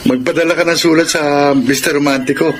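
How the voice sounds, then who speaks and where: A man's voice: a little high, fairly even intonation, a little fast, music in the background, natural voice. An actor playing a humble, remorseful single father working in a shop, in a Filipino radio drama. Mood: friendly, eager. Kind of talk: Tagalog radio drama acting. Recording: noisy ambience behind the voice.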